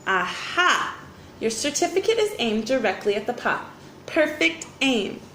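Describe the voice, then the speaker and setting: A woman's voice: fairly high pitch, sing-song, a little slow, fairly bright tone. A woman reading the part of a self-important boy detective in a storybook, explaining with emphatic statements. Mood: confident. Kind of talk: storybook character dialogue. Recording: roomy echo, faint background noise.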